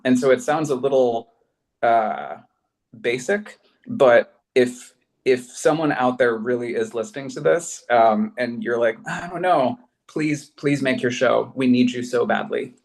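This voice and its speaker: A man's voice: mid-range voice, unhurried, creaky. A man speaking as a panel guest, giving advice in an earnest, emphatic and pleading way. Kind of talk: casual advice-giving talk.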